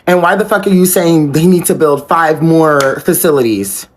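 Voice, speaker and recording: American accent, mid-pitched voice, exasperated, incredulous, sweary, casual political rant. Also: moderate pace. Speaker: political commentator on social media. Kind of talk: casual social media rant.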